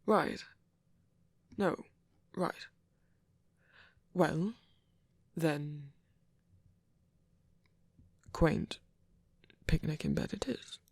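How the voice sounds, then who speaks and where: Someone acting as soft wounded villain guy, romantic asmr roleplay, hesitant n flustered, gravelly fry in a breathy voice, super dry close sound. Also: mid-range voice, no echo, full character voice. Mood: touched, bashful. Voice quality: breathy, whispery. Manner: hesitant, halting, soft-spoken. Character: wounded male villain, flustered, tender, softening romantic lover. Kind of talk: intimate ASMR romantic roleplay audio drama.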